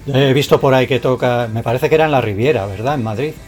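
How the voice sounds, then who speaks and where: A man from Spain hosts a radio interview in an ordinary mid-pitched voice. He asks his questions in a friendly way and drops short acknowledgements while the guest talks. Faint music sits behind him. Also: fast, a little mellow.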